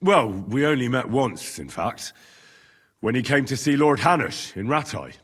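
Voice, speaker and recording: An actor playing an earnest, questioning young man in service to medieval lords in a video game cutscene, with a husky voice. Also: mid-range voice, animated, a little slow, a bit bright. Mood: modest. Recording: long hall reverb.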